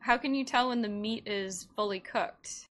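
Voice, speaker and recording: A woman with an average-pitched voice hosts a podcast interview. She speaks conversationally, in an affirming and encouraging way. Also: normal speed, a bit bright.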